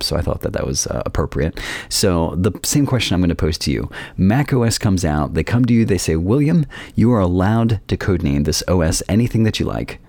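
A man's voice: deep voice, darkish tone, no room sound. A man hosting a conversational interview podcast with an enthusiastic, affirming manner. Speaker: podcast host.

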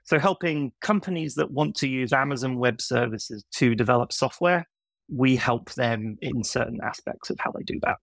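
A man's voice: medium pitch, normal speed, creaky, a bit bright, dry recording. A middle-aged man with a blended British and American accent, explaining things casually as an interview guest. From United Kingdom. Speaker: podcast guest, software consultant.

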